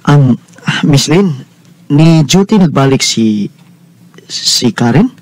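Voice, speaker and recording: A male actor with a Visayan Filipino accent playing an earnest, halting, lovesick young working man in a radio drama. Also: medium pitch, lively intonation, a little hiss or hum, rough recording. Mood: earnest, pleading. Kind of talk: Bisaya radio drama acting.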